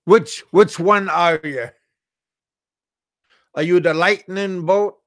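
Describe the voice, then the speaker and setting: Male livestream host from Alaska, unhurried and halting, lots of restarts, dry close sound. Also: sits high, a bit bright, no room sound. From Alaska, USA. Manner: halting, repeats words and restarts often. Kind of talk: casual live-chat talk reading viewer comments.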